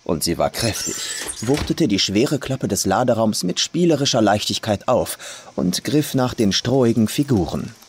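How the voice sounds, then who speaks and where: Expressive low-voiced male horror narrator. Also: moderate pace, husky. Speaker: audiobook narrator, voice actor. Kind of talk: scripted horror story narration. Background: faint atmospheric ambience.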